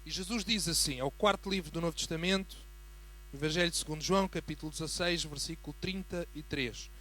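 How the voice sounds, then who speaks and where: A man with a European Portuguese accent preaching as a guest in a church, building from a quiet greeting to fervent emphasis. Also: fairly high pitch, a little fast. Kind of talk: sermon.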